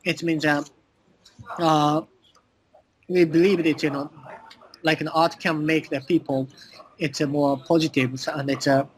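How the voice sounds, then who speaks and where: A man with a Japanese accent answering as an interview guest, speaking halting non-native English full of filler phrases. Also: a little high, level tone, a little hiss or hum, low-quality recording. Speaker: interview guest, art collective communications director.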